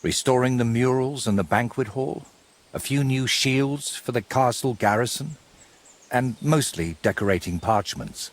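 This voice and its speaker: An actor playing a wry, courteous, middle-aged medieval painter in a video game, with a husky voice and a wordy, theatrical way of talking. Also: male voice, medium pitch, unhurried, close to an everyday voice. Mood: proud, musing. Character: wry, wordy ageing medieval painter, robbed but polite. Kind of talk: game cutscene dialogue.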